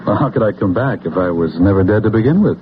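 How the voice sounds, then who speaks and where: An American actor playing a calm, educated war comrade mysteriously returned, speaking in an articulate, insistent way in a radio drama. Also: male voice, on the low side, fast, a little hiss or hum, natural voice. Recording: dull old radio broadcast, music.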